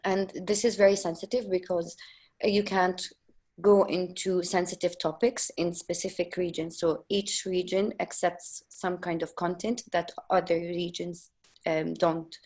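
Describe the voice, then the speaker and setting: Female panelist, lowish flat voice, calm explainer. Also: a little slow. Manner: steady, explanatory, with ums. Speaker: localization company panelist. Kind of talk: webinar panel talk. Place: video call.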